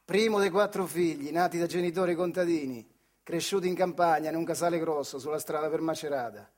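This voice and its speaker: A man's voice: a little high, fairly quick, a little mellow, natural voice. A male actor with a Marche accent from central Italy playing Adriano, an earthy, wry factory worker who tells his memories in a colloquial theatrical monologue. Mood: wry reminiscence. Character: Adriano, working-class old factory man telling his childhood memories. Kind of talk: theatrical monologue storytelling in dialect-tinged speech.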